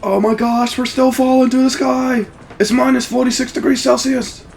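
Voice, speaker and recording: A man's voice: very high-pitched, flat delivery, moderate pace, vocal fry, slight background noise. A man hosting a Let's Play, giving casual, jokey game commentary with exclamations and swearing.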